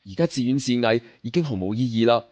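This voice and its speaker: A man's voice: medium pitch, lively intonation, fast, a little mellow, no echo, natural voice. A man playing an earnest, serious young rich sidekick in an audiobook drama, with formal phrasing. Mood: grave, determined.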